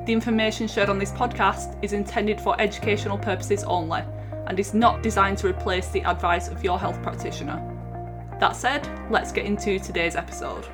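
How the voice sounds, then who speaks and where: A woman's voice: mid-range voice, steady tone, a little fast. Woman in her 20s, podcast host, chatty, echoey room, light music. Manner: clear scripted intro, then chatty with fillers. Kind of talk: podcast intro and interview chat.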